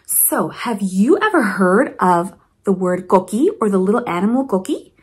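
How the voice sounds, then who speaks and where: A woman reading a storybook aloud to children in an expressive, encouraging way, with teaching asides. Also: mid-range voice, moderate pace. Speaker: principal reading a picture book to children.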